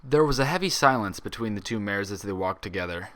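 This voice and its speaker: A man's voice: lowish voice, animated, quick pace, a bit bright. A man reading fan fiction aloud as scripted story narration.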